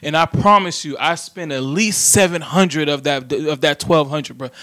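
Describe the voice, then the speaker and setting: A man's voice: a little high, moderate pace, sharp tone, no room sound. A man with an American accent telling things casually in conversation, emphatic and full of slang and swearing. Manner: heavy slang and profanity, emphatic.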